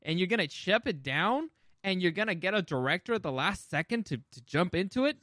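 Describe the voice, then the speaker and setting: A man's voice: sits high, animated, brisk, fairly bright tone, dry recording, clean studio sound. A man hosting a casual movie chat, opinionated and emphatic, swearing freely. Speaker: podcast host.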